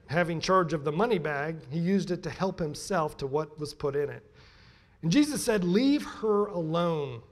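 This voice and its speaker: A middle-aged American man giving a sermon as a pastor, emphatic and deliberate in his delivery. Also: sits high. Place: church pulpit, indoors.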